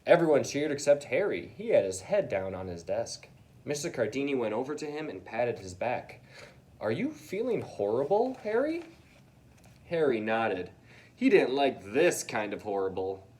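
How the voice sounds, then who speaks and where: A man's voice: mid-range voice, expressive, moderate pace, a little mellow. A man narrates a children's story as a read-aloud, dropping in casual asides to the kids. His mid-range voice swings widely and expressively.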